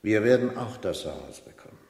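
An actor playing a gruff, curt London police inspector who needles dryly, in a seventies radio crime drama. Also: male voice, lowish voice, lively intonation, brisk, a little mellow. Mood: needling, suspicious. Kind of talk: 1970s German radio crime drama acting. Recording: roomy studio sound, light background noise.

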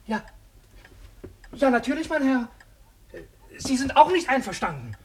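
A man's voice: very high voice, very animated, unhurried, a little mellow, full character voice. A man playing a cranky, eccentric old junk dealer in a radio play, fussy and agitated, often repeating himself. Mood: indignant, bitter. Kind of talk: German radio play acting.

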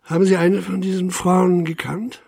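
An actor playing a sober, methodical small-town police inspector in a German radio drama, speaking in a measured, matter-of-fact way. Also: male voice, sits high, little pitch movement, vocal fry, a little mellow, no echo, studio quality. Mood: probing.

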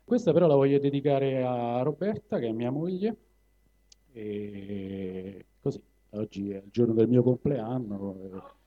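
A man with a Roman Italian accent talking colloquially to a live audience at a poetry reading, halting and digressing as he goes. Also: mid-range voice, lively intonation. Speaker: poetry reader. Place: live evening event in a cinema venue. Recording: dull lo-fi live recording.

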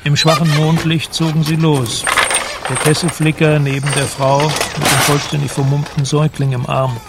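A man narrating a literary radio play in a measured, solemn manner. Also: on the high side, level tone, heavy background noise.

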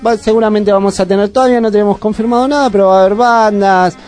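A man's voice: high-pitched, steady tone, fast talker, darkish tone, faint music behind. A man with an Argentine accent hosting a community radio show, talking in a rambling, chatty way. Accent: Argentine Spanish. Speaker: radio host. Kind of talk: casual radio chat.

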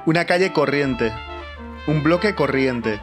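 A man's voice: fairly high pitch, expressive, measured pace. A man delivering dramatic scripted narration as a programme announcer. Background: loud brass music.

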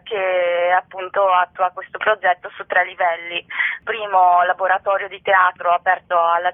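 A woman's voice: mid-range voice, no room sound, phone-quality sound. A woman explaining things as the guest in an informal radio interview. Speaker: interviewee, theatre festival organiser.

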